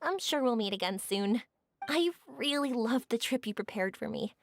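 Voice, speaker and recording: A woman's voice: fairly high pitch, lively intonation, normal speed. A woman voicing a cheerful, affectionate young woman in a video game, speaking sweetly and sincerely as a loyal, encouraging friend. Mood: warm farewell.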